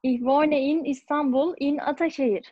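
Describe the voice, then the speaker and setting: A woman's voice: high-pitched, fairly even intonation, moderate pace, dry recording. A woman in her twenties with a Turkish accent, a student carefully practising dialogue lines in an online class. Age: 20s. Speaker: language student. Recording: dull online call.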